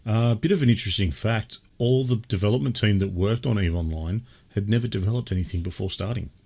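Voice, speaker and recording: An Australian man hosting a gaming podcast in a laid-back chat with his brother, still a little croaky from a cold. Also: lowish voice, quick pace, mellow, a little hiss or hum. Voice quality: husky. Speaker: podcast host. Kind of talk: casual chat.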